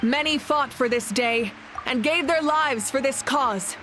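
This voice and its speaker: A woman playing a solemn, warm leader of a warrior nation, speaking with formal ceremony in a video game cutscene. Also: sits high, measured pace, a little hiss or hum. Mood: solemn, proud.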